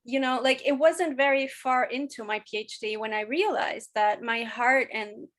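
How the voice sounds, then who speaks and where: A woman's voice: on the high side, moderate pace, a bit bright. A woman from Chile in her thirties, speaking as a podcast guest. She talks candidly and at length, often restarting with "you know". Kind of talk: casual interview conversation.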